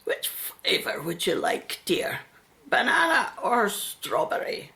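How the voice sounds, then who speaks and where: A woman playing a caring, anxious mother and carer in a radio play, chatty and gentle, at times soft and breathy. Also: mid-range voice, sing-song, takes it slowly, exaggerated voice. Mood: tender, chatty.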